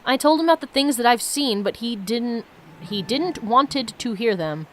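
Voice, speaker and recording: Woman reading as solemn diary writer, fairly high voice. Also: animated, normal speed, a bit bright, a little hiss or hum. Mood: regretful. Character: solemn diary writer recounting strange mystical visions. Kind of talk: reading story text aloud.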